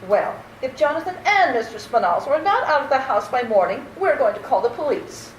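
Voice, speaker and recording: A woman's voice: sits high, sing-song, a little fast. A woman playing a prim, indignant old aunt in an old-time radio play, fussy and matter-of-fact. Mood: fussy, scolding. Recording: roomy, slightly noisy amateur recording.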